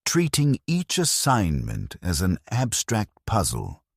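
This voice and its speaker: A man reading scripted first-person narration in a measured, somber and reflective manner. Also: fairly low pitch, huge pitch swings, slightly rough, no echo, studio quality.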